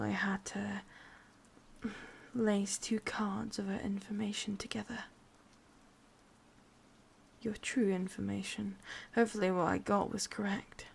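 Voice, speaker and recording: A woman playing a sadistic, possessive, lovesick witch in a whispered ASMR roleplay, speaking intimately in an English accent with a breathy, husky voice. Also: medium pitch, slight background noise. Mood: teasing, secretive. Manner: slow, intimate, menacingly tender.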